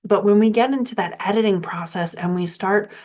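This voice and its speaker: A woman speaking as a writing coach, giving a measured, methodical instructional explanation point by point. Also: medium pitch, a little mellow, lo-fi sound.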